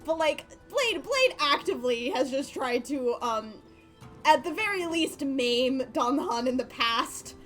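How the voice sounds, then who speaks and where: Casting: young female streamer, high-pitched, slangy and sweary, rambling casual commentary with faint music behind. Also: lively intonation, measured pace, fairly bright tone. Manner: giggly, profane, slangy, rambling. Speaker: livestreamer.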